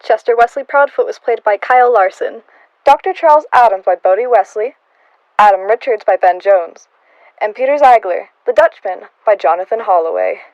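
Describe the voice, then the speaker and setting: Higher-voiced woman radio announcer. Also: a little mellow, dry recording, highs cut off. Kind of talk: scripted radio drama credits.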